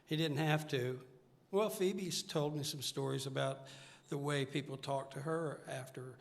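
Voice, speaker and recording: A man's voice: on the high side, unhurried, gravelly. An older man, a singer-songwriter, chatting casually and earnestly as an interview guest on stage. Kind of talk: casual onstage interview chat. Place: stage of a live music show. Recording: reverberant hall, music behind.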